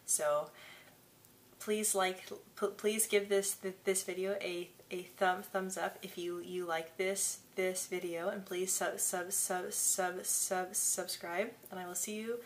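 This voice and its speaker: Casting: woman, 20s, vlogger with a heavy stutter, low flat delivery, bedroom camera mic. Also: slow pace, a little hiss or hum. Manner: stutters with repeated syllables and blocks. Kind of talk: casual talk to camera. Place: small bedroom indoors. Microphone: camera mic at desk distance.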